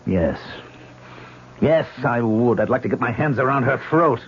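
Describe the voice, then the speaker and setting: An actor playing a tormented man who hears ghost voices in an old radio drama, agitated and husky. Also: medium pitch, measured pace, slight background noise, natural voice. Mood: tense. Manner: agitated, swinging between calm and outbursts. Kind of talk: 1970s radio drama acting. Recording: muffled lo-fi old radio broadcast.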